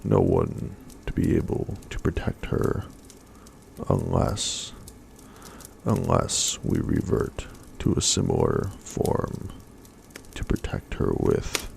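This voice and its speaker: A man voicing an ancient, gentle male dragon in a soft-spoken storybook reading. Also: extremely low pitch, wildly swooping pitch, slow pace, creaky, a little hiss or hum, voice pushed up, heavily acted. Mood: worried.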